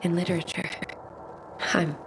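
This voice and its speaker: An actress playing a shy, dreamy, bookish young woman who speaks softly and wistfully, often half-whispering. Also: lowish voice, flat delivery, normal speed, very noisy, natural voice.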